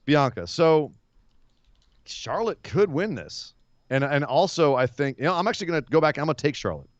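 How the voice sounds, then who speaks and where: Opinionated man, slightly hoarse, muffled. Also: fairly high pitch, normal speed, no room sound. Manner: animated, opinionated, laughs easily. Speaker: podcast co-host. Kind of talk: casual wrestling podcast chat. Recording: muffled, dry remote podcast audio.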